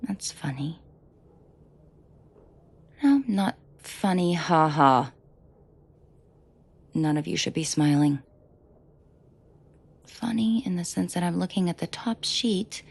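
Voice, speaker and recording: A woman performs a female mafia boss for an audio roleplay, her voice low and heavy with fry. She speaks slowly and deliberately, sardonic and dangerous yet protective, with a flirtatious edge aimed intimately at the listener. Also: lively intonation, darkish tone, heavily acted. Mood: dry, simmering. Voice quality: breathy, husky low voice with vocal fry. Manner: slow, deliberate, dryly sarcastic, often dropping to a murmur.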